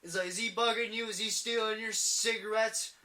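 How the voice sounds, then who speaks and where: A man's voice: sits high, steady tone, brisk, pushed and bright, recorded from a distance, slight background noise. A young man in his late teens, co-hosting a podcast and telling a rambling story while quoting people. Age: young adult. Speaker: podcast co-host. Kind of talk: casual chat, storytelling.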